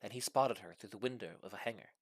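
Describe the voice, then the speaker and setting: Animated man reading a comic story. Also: fairly low pitch, fast talker, no echo. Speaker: story reader. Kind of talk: animated scripted narration of a comic short story.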